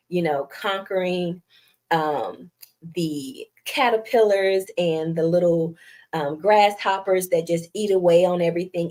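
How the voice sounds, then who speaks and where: A woman's voice: fairly low pitch, darkish tone. A middle-aged woman with a Southern US accent and a light, youthful voice, chatting casually with viewers on a live stream and laughing often. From United States. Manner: chatty, giggly, self-deprecating, reads viewer comments aloud.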